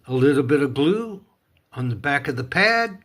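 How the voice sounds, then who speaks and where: A man's voice: a little high, big pitch swings, moderate pace, a bit bright. A man casually narrating a hands-on DIY repair, talking himself through each step in a folksy, playful way. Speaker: host of a DIY repair video.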